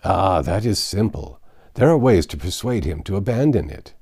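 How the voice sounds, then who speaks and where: Guy doing old monastery abbot from a storybook, pleading and solemn, old-timey wording, swoopy low voice. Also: big pitch swings, normal speed, dark tone, husky, full character voice. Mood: insistent. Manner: pleading, solemn archaic speech. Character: anxious, insistent old medieval abbot.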